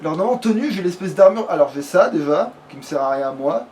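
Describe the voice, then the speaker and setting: Male gamer, high voice, crude slangy chat, noisy recording. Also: animated, fast talker, darkish tone. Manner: crude, slangy, swearing freely. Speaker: let's play gamer. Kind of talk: casual gaming commentary. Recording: clearly noisy home recording.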